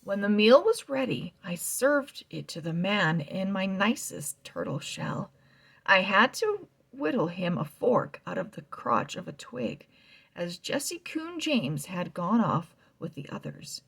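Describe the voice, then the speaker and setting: A woman reading a children's novel aloud, slow and expressive, sometimes dropping to a soft whisper. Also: medium pitch, gravelly, breathy edge. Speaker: read-aloud narrator.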